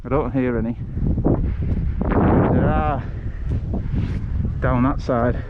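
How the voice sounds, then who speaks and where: A man's voice: mid-range voice, fairly even intonation, drawn-out words. A man chatting casually and wryly in a rambling way as he hosts a walking livestream. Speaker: livestream host and travel vlogger. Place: outdoors, walking along a village road. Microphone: action camera on a head strap. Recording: muffled outdoor audio with loud wind noise. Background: wind and ambient outdoor noise.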